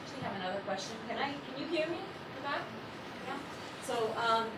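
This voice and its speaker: A woman's voice: high-pitched, animated, fairly quick. Hesitant woman asking from the back. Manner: hesitant, with fillers. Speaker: audience member asking a question. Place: lecture hall audience. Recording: echoey hall, far from mic, loud noise.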